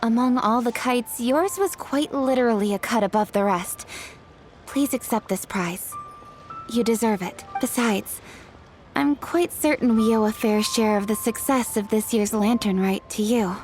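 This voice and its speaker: Woman voicing a courteous young woman, slightly high voice, music behind. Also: unhurried, darkish tone, natural voice. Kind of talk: game voice acting.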